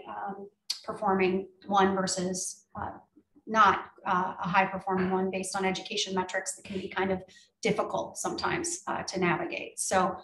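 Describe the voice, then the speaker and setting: A woman's voice: medium pitch, a bit bright. Hesitant woman on a roomy, distant call. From United States. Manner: hesitant, many fillers, gestures while talking. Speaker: panelist. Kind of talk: online panel discussion. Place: home room with shelves. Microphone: video call. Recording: echoey room, off-mic, faint noise.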